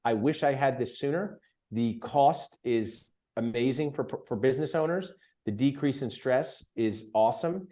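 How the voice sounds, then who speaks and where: A man's voice: medium pitch, normal speed, dark tone. A man who joins a video call to give an eager testimonial as a business owner. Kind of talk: enthusiastic testimonial.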